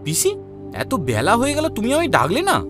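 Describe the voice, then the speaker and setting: Someone voicing a lively young village nephew. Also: mid-range voice, fast, music in the background. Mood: affectionate. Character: young man, sleepy and affectionate nephew. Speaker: voice actor in an animated story. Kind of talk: cartoon voice acting.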